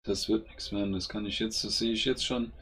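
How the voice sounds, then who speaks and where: A man's voice: lowish voice, fairly even intonation, a little fast, fairly bright tone, a little hiss or hum. A man giving casual gameplay commentary, grumbling and exasperated as he swears at his own units. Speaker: gaming YouTuber.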